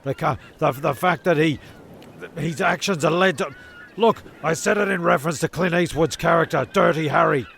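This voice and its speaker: A man's voice: a little high, normal speed, vocal fry, a bit bright, slight background noise. A man playing a flustered, sweary narrator in a comedy audio drama, stammering and repeating himself. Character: flustered, rambling, sweary male narrator, easily exasperated.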